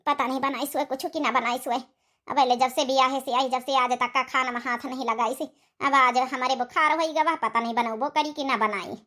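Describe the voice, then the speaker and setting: A woman's voice: sits high, fairly even intonation, normal speed, pushed and bright. A woman voicing an ailing older village mother-in-law in a cartoon comedy, grumbling wearily in an Awadhi accent. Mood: weary grumbling. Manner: weary grumbling.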